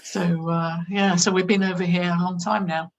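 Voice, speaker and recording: A woman's voice: lowish voice, flat delivery, normal speed. A woman from near Manchester with a Northern English accent, talking thoughtfully as an interview guest with frequent fillers and restarts. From England, near Manchester. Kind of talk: conversational interview.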